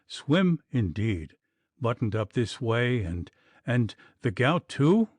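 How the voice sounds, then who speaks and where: A man voicing a boastful, hot-tempered gentleman who shouts and curses in a fright. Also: mid-range voice, sing-song, slow pace, husky, no echo, full character voice. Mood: blustering. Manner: blustering, shouting.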